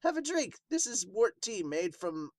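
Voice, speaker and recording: A man's voice: high-pitched, big pitch swings, moderate pace, no room sound, studio quality, voice pushed up. A man voicing an emotional, eccentric male talking pig from a manga, a hospitable hermit with an exclamatory manner.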